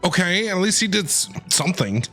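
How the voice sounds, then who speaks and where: A man's voice: high-pitched, very animated, fairly quick, bright, forceful tone. A man streaming a game with casual, chatty, informal reaction commentary. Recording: game music behind the voice.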